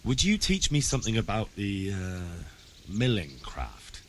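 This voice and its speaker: A man's voice: on the low side, huge pitch swings, measured pace, fairly bright tone, a little hiss or hum, full character voice. An actor playing a polite, curious young man in a medieval video game, asking in a slightly hesitant way. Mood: inquisitive. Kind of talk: acted game dialogue.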